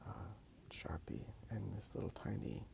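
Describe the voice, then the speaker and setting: A man speaks in a half-whispered ASMR murmur with heavy vocal fry. He rambles hesitantly, often trailing off, as he hosts a casual drawing video outdoors on a handheld phone. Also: fairly low pitch, steady tone, moderate pace. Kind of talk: soft-spoken ASMR rambling. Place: outdoors in a park.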